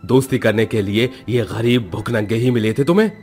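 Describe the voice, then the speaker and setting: A man's voice: on the low side, a little fast, darkish tone, close to an everyday voice. Pompous rich older cartoon father played by a man. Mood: contemptuous. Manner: pompous, belittling. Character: arrogant rich middle-aged college trustee, a father. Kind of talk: cartoon voice acting. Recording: music under the voice.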